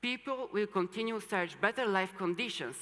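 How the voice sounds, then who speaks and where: Bright-voiced young Polish speaker in a hall. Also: medium pitch, animated, a little fast. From Poland, moved to Italy as a teenager. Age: young adult. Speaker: student speaker. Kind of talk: rehearsed public talk. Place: large indoor hall or stage. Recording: reverberant hall sound.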